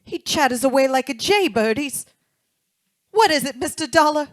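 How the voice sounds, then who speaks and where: A woman's voice: high voice, lively intonation, normal speed, no echo, clean studio sound. A woman playing an anxious, protective wife in an old-time radio drama. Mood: protesting. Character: distressed, protective young wife.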